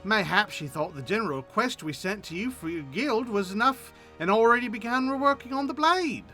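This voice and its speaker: An earnest young squire in a fantasy game, acted by a man. The speech is formal, polite and eager. The voice is high and bright with animated swings. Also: moderate pace, soft background music. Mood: eager, courteous.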